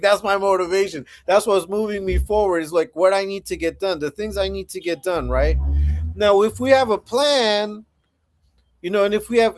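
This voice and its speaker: A man in his forties hosting a casual livestream, chatting laid-back with viewers and often repeating himself. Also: high voice. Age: 40s. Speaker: livestream host.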